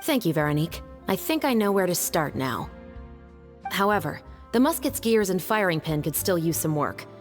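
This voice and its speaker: A serious military woman gives instruction in a lowish, firm voice. Her delivery is measured and disciplined, in the manner of an anime game, over faint music. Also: big pitch swings. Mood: appraising, businesslike. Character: disciplined, serious woman officer, musket expert. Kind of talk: anime-style video game voice acting.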